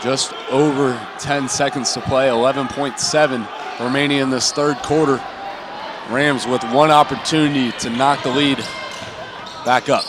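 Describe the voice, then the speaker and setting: A man's voice: medium pitch, steady tone, normal speed. Man, basketball play-by-play, radio-style commentary, mid-range voice, blaring gym noise, bouncing ball behind. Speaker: play-by-play announcer. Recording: loud gym crowd and court noise behind. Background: cheering crowd and bouncing basketball.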